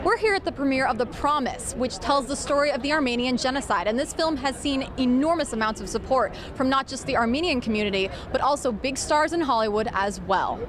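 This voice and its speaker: A woman reporting scripted television news. Her voice sits on the high side, and she delivers each line in a measured, emphatic reporter style. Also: animated, noisy background.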